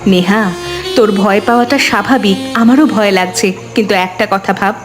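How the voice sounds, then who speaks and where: A woman playing a resolute, sorrowful and protective eldest daughter-in-law in a cartoon drama, speaking gravely and reassuringly. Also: mid-range voice, moderate pace, natural voice. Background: music.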